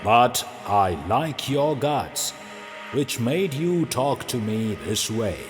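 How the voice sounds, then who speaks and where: A proud cartoon lion, played by a man, speaking slowly and pompously with theatrical bluster. Music plays behind him in this animated children's story. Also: medium pitch. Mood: amused, haughty.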